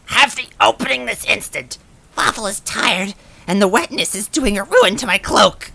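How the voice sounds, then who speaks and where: A man's voice: animated, moderate pace, sharp tone, full character voice. A man voicing a furious small villain in a story, screeching and ranting in mangled, broken grammar. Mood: furious, impatient. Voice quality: raspy, screechy. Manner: shrill ranting with mangled foreign-sounding grammar.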